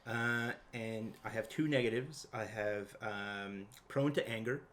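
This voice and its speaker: A man, a tabletop player talking casually and haltingly through a character sheet. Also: fairly low pitch, a bit bright, a little hiss or hum. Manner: halting, hesitant. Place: indoors at a home game table.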